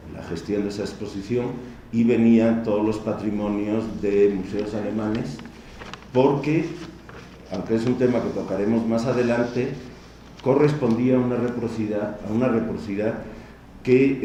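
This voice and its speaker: A middle-aged man with a Spanish accent lectures in a measured, explanatory way, turning to his listeners now and then. His voice is low and mellow. It is picked up from a distance in a large hall with heavy reverb and a faint hiss underneath. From Spain. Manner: measured, explanatory, addresses the audience directly. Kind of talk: conference lecture. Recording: distant, echoey hall recording.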